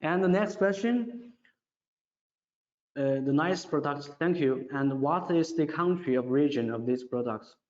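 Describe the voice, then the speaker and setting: Man, non-native English, webinar presenter, halting question-and-answer delivery, simple phrasing, muffled sound, strong room echo. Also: fairly high pitch, darkish tone. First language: non-native English speaker. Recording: muffled audio in an echoey, reverberant room.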